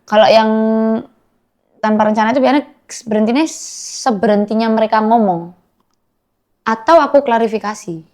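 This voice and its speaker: This young woman's voice sits a little on the high side, and she speaks with a strong Javanese accent. She chats easily as a podcast guest, mixing in Javanese and English words. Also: moderate pace, pauses often, darkish tone. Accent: Javanese-accented Indonesian. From Madiun, East Java, Indonesia. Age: young adult. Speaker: guest singer. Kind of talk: casual podcast chat.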